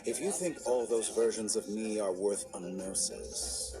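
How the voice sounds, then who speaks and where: An actor voicing an arrogant, scheming male scholar villain in a game cutscene, smooth and mocking, sometimes breaking into shouts. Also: lowish voice, measured pace, close to an everyday voice. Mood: smug, calculating. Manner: smooth, mocking, theatrical, with sudden shouting outbursts. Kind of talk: video game cutscene voice acting. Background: game score music.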